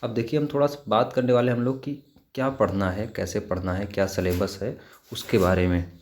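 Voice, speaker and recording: A man's voice: on the low side, steady tone, fairly quick, darkish tone, slight background noise. A man gives an informal instructional walkthrough as an exam preparation teacher, advising step by step and repeating key points.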